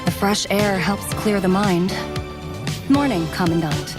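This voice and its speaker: Casting: female cyborg centaur warrior for a video game, acted by a woman. Mid-pitched, earnest, composed, loyal, gently teasing. Sits under very loud music. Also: a little slow, natural voice. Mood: gentle, caring. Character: devoted, steady female cyborg centaur warrior, playfully eager. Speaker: voice actor in a video game dub. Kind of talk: scripted game character voicelines.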